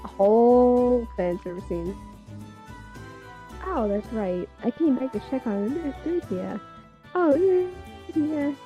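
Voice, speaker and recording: A woman's voice: on the high side, lively intonation, slow, pauses often, lo-fi sound. A woman casually co-reading a visual novel as a guest on a game stream. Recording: music behind the voice. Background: game music.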